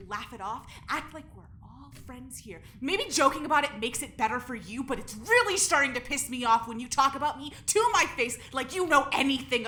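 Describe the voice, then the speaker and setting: Actress as touchy young woman convict, highish sharp voice, blunt, quick temper, sci-fi audio drama. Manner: blunt, confrontational, sweary.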